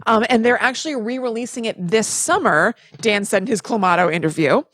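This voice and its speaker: A woman's voice: a little high, brisk, fairly bright tone, dry recording. A woman co-hosting a podcast, telling stories in a casual, chatty way and correcting herself as she goes. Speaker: podcast co-host. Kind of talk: casual conversational storytelling.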